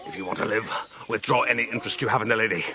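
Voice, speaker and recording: Proud duellist, bright forceful mid-pitched voice, hot-headed, radio play, faint noise. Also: male voice, rapid-fire. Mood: proud, challenging. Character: hot-headed, boastful Irish gentleman duellist. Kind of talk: radio drama acting.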